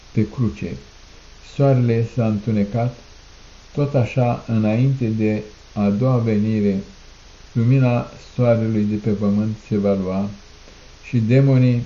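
Dark-voiced man reciting solemn prayer, echoey. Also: lowish voice. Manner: declamatory, measured phrases with repeated refrains. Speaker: prayer reader. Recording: muffled, echoey room recording.

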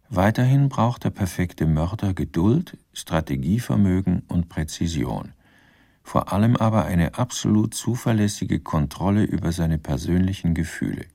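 A dry, ironic storyteller for a radio crime drama. His voice is deep, dark and gravelly, with formal, measured delivery. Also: no room sound, full character voice. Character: dry, ironic narrator of a murderer's life. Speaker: narrator.

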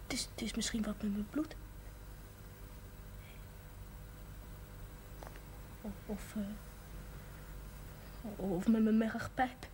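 An actress playing a bedridden, sharp-tongued sick young woman in a naturalistic television drama. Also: on the high side, steady tone, unhurried, lightly acted. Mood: subdued, brooding. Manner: blunt, swearing, emotional. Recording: noisy old television broadcast audio.

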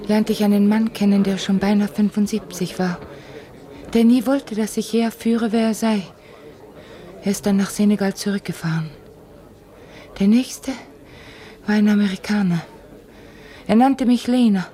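An actress playing a dreamy, restless young woman in a literary radio drama, speaking in a halting, trance-like way. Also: mid-range voice, steady tone, warm tone, close to an everyday voice. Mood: wistful recollection.

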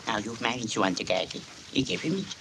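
A man's voice: medium pitch, very animated, fast talker, noisy background, natural voice. An actor playing a sheepish, evasive old grandpa in a radio comedy, hesitant and repeating himself as he stammers excuses. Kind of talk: radio comedy drama acting.

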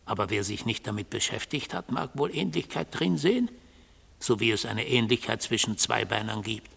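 An actor in a German radio drama playing a mystical god on trial, a fanatical defender of order who speaks solemnly and insistently, at times dropping to a hushed intensity. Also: male voice, fairly low pitch, moderate pace, creaky, slightly rough, heavily acted. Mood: measured self-justification. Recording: long hall-like reverb.

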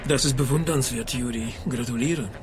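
An actor playing a wry, unflappable middle-aged investigator in a radio drama, with a measured, dry delivery. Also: male voice, mid-range voice, over music, lightly acted. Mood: guarded, dry. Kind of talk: radio drama acting with first-person narration.

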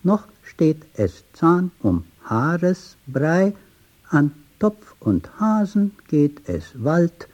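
Slow chanting man, dull archival sound. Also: a little high, sing-song, darkish tone. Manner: rhythmic, chanting, playful syllable play. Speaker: poet reciting sound poetry. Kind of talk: sound poetry recitation.

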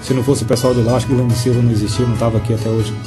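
A man's voice: mid-range voice, flat delivery, racing. A Brazilian man from Goiás, a singer chatting casually as a guest, earnest and eager, repeating himself. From Formosa, Goiás, Brazil. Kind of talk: casual chat. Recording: music playing behind the voice.

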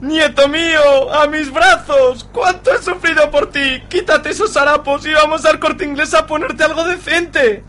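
A man's voice: very high voice, steady tone, measured pace, few pauses, sharp tone, full character voice. A man playing a doting, befuddled old grandfather in a melodramatic amateur radio soap. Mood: overjoyed, doting.

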